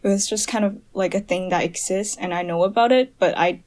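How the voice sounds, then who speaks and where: A young woman from Hong Kong talks as a podcast guest. She rambles in a loose, chatty way, full of fillers and laughter, with a voice of middling pitch. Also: brisk, darkish tone. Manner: chatty, many fillers, laughs while telling. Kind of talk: casual chat.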